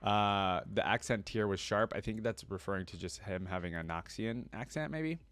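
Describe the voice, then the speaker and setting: A man's voice: fairly low pitch, moderate pace, fairly bright tone, no echo. A man hosting a reaction video, reading a story aloud and commenting casually as a fan. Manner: informal slangy fan talk.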